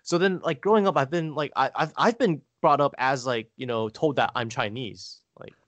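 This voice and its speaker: A man telling family stories casually as a podcast guest, halting and rambling, saying "like" often. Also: medium pitch, fairly bright tone, no echo. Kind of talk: casual conversational storytelling.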